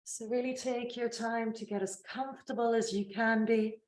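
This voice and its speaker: A woman teaching yoga nidra, guiding a relaxation gently and without hurry, with long pauses between phrases. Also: on the high side, fairly even intonation, roomy sound. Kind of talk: guided relaxation meditation.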